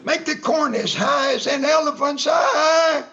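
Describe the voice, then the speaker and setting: A man playing a cranky, folksy old-timer who rambles in the style of old-time radio comedy, with a creaky voice. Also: very high voice, unhurried, dull audio, heavy background noise, exaggerated voice. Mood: flustered, contrary.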